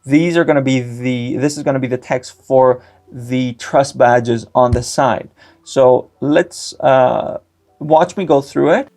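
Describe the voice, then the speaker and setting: A man's voice: medium pitch, slow, darkish tone. A young man whose first language is Greek, giving a step-by-step screen-recorded tech tutorial with frequent filler sounds. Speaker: tutorial host.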